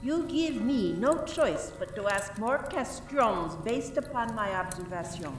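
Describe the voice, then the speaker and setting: A woman playing a stern, accusing French police inspector in a radio play, questioning suspects formally and deliberately. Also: a little high, animated, far from the mic. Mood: stern, probing. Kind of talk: community radio play acting. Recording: roomy, off-mic, music behind.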